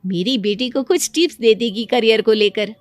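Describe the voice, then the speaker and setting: A woman's voice: a little high, normal speed, dry recording, lightly acted. A woman playing a courteous, emphatic working mother, acted as dialogue in a cartoon story. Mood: admiring. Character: polite, admiring working mother, a family acquaintance. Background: music.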